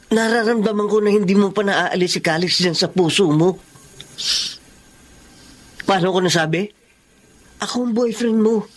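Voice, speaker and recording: An actor plays a devoted young Filipino boyfriend in a radio romance drama. His voice sits high for a man, and he speaks in an emotional, imploring way, jealous and insecure. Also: natural voice.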